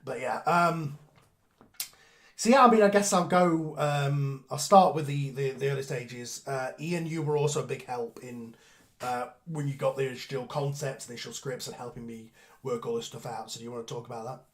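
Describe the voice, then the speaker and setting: A man hosts an aftershow over a video call, talking in loose, rambling runs with quick asides. His voice sits at an everyday middle pitch. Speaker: show creator and host. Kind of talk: casual aftershow chat on a group call.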